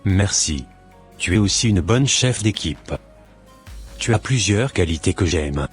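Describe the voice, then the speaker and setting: Man voicing a low-pitched, devout, humble man. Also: animated, measured pace, breathy edge, soft background music, full character voice. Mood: polite. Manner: pious, earnest, formal. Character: devout, humble formerly homeless man, earnest and grateful.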